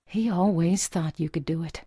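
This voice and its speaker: Woman acting a husky, mellow, steady survivor. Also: lowish voice, normal speed, no echo, clean studio sound. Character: steady, tough female survivor of dragon attacks. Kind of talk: audio drama acting.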